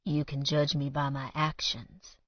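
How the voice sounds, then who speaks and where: A woman narrator voicing a stoic, remorseful man, speaking softly and solemnly in a flat, ragged tone for an audiobook romance. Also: sits low, no echo, lo-fi sound. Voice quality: ragged, soft. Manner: flat, quiet, solemn, slow. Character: stoic, gruff man, quietly remorseful lover.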